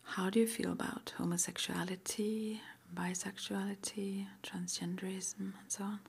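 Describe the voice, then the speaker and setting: A woman playing a calm, gentle witch school interviewer in an ASMR roleplay, whispering softly and reassuringly without hurry. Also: mid-range voice, creaky, breathy edge. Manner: soft, unhurried, reassuring, with murmured acknowledgements.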